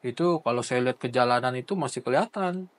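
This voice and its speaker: Seorang pria berusia empat puluhan dengan logat Medan, pemilik agen perjalanan, menjawab pertanyaan dengan santai, pelan, dan sering ragu-ragu. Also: male voice, mid-range voice, quick pace. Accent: Medan Indonesian. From Jakarta, Indonesia. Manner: hesitant, many uh fillers, soft-spoken. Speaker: travel agency owner. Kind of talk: casual interview answers.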